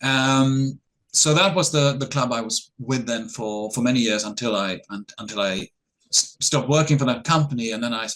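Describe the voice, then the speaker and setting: A man's voice: fairly low pitch, fairly bright tone, rough recording. Middle-aged guy speaking english, lots of ums and uhs, easygoing and a bit self-mocking. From Norway. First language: Norwegian. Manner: hesitant, many ums and uhs, chuckles, self-deprecating. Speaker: interview guest. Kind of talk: relaxed conversational answers.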